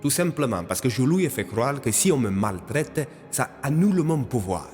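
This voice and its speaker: A man's voice: mid-range voice, very animated, normal speed, darkish tone, voice pushed up, lightly acted. Man playing a nonchalant captive, echoing reverb. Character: wily, nonchalant male captive bluffing to survive. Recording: reverberant cave effect, music behind.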